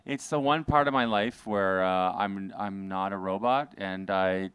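A man co-hosting a podcast in casual chat, earnest and halting, often restarting his sentences. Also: low-pitched, a bit bright, clear, resonant tone, dry recording. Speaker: podcast co-host.

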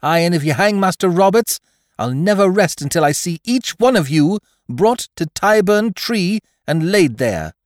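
A man's voice: lively intonation, moderate pace, no room sound, clean studio sound. A man voicing a brave, defiant young woman held by pirates, crying out boldly in an adventure audiobook. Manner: crying out boldly, raised pitch.

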